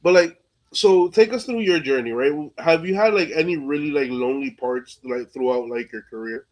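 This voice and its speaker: A man's voice: on the high side, moderate pace, a little mellow, low-quality recording. A man hosting a casual interview, speaking in informal, slangy phrases peppered with filler words. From New York, USA. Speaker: podcast host interviewer.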